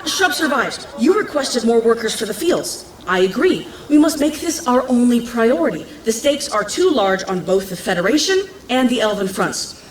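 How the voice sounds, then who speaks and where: A woman's voice: on the high side, big pitch swings, moderate pace, roomy sound, poor audio. An actress playing a bold, clever young townswoman in an audio drama, speaking in an earnest, persuasive, impatient manner. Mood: earnest, urgent.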